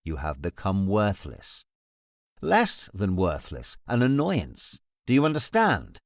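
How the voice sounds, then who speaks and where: An actor playing a cold, contemptuous lord in a fantasy audiobook, with an imperious, cutting and formal way of speaking. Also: male voice, low-pitched, huge pitch swings, slow pace, dry recording, clean studio sound, heavily acted. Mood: scornful. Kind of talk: full-cast fantasy audiobook acting.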